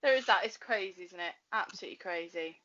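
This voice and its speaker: A woman's voice: medium pitch, fast, sharp tone, dull audio. A woman hosting a casual interview, effusive and repeating words for emphasis. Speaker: interviewer, host.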